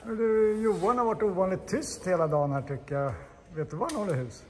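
A man's voice: high-pitched, long reverb tail. A man hosting a casual vlog from his car workshop, upbeat and encouraging as he coaches and chats. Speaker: vlog host, garage owner. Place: large car workshop, indoors.

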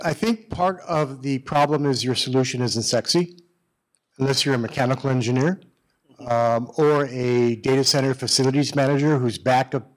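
A man's voice: mid-range voice, rough recording. A middle-aged man in the audience at a panel, speaking on a handheld mic in a conference room, giving candid advice with many restarts and repeated words. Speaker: audience member, data center executive.

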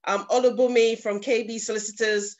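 A woman's voice: on the high side, little pitch movement, brisk. A woman speaking on a video call, effusive and emotional as she encourages the other women. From not Nigeria. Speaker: attendee. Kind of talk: casual online meeting remarks.

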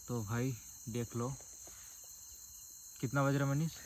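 A man's voice: mid-range voice, steady tone, unhurried, darkish tone, a little hiss or hum. A young man vlogging from a forest campsite, talking casually to viewers and joking with his friends, recorded on a handheld camera. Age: young adult. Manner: chatty, addresses viewers as guys, jokes with friends. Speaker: camping vlogger. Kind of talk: casual vlog chat. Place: outdoors in a forest campsite and inside a tent.

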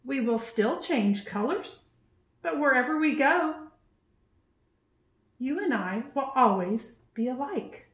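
A wise older storybook chameleon, voiced by a woman, consoles in a high, measured voice. The room sounds slightly echoey. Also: lively intonation, fairly bright tone, full character voice. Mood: consoling. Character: older, wiser male chameleon, gentle and consoling. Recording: roomy indoor sound.

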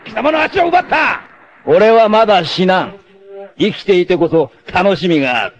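Cocky, swaggering anime warrior, wildly expressive. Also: male voice, high-pitched, normal speed, highs cut off. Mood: wild, taunting. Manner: theatrical, swaggering, rough masculine speech. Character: cocky, free-spirited male martial-arts warrior, fearless, devoted. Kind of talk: dramatic 1980s anime voice acting.

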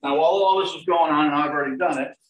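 A man's voice: on the high side, racing. Middle-aged chef guy walking thru a recipe, laid back, lots of ums, sounds a bit far off in a roomy kitchen. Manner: relaxed, step-by-step instructions with frequent "um" and "like I said". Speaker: chef and dining services director. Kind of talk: live cooking demonstration. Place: large home kitchen, indoors. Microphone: fixed camera across the counter. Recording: echoey kitchen, voice from a distance, faint hiss. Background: turkey sizzling in a pan.

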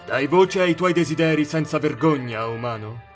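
A man's voice: a little high, animated, moderate pace, soft background music, lightly acted. A man voicing a sinister, contemptuous male sorcerer in an anime fandub, speaking in a grandiose, solemn, theatrical way. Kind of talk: amateur Italian anime fandub acting.

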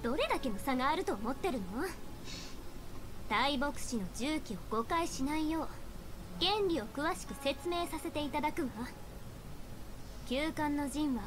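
A woman voicing a proud, brisk, learned young female diviner in an anime-style game, with a formal, lecturing manner. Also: sits high, lively intonation, noisy background. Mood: indignant.

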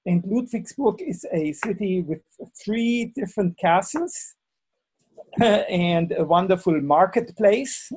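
Hesitant German-accented man, slow and dark. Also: sits high, breathy edge, low-quality recording. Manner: halting, many fillers and restarts. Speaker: webinar speaker, university lecturer. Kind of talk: hesitant presentation on a video call.

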